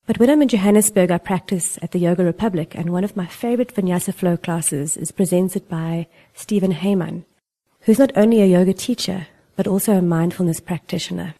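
Woman, podcast host, lowish mellow voice, calm questions. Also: fairly quick. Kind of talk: interview hosting.

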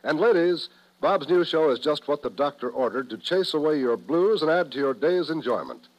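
An American male announcer from 1950s network radio. His voice is slightly high, with a polished, promotional delivery on a vintage broadcast. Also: animated, moderate pace, poor audio.

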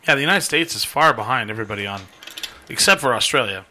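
Host role: bright, tense male voice, going hoarse, rambling casual talk. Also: medium pitch, expressive, quick pace, a little hiss or hum. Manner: rambling, loose, swears casually. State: losing his voice. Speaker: podcast host. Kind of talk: casual live-stream chat.

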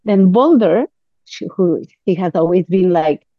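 A woman with a Catalan accent, a native Catalan speaker, talking as a guest on a podcast in a halting way with many fillers and restarts. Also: fairly low pitch, expressive, no echo, highs cut off. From Catalonia, Spain. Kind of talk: podcast interview.

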